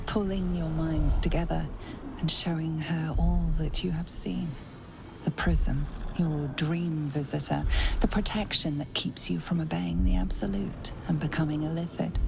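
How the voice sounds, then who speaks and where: A woman acting as narrator of a dark fantasy game, composed, measured and portentous. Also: sits low, creaky, background noise. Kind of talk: scripted second-person game narration.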